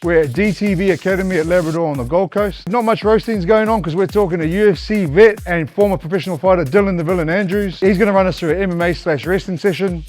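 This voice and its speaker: A man's voice: high-pitched, fast, few pauses, a little mellow, faint music behind. A man hosting a podcast, introducing the episode and asking short, upbeat questions. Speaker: podcast host.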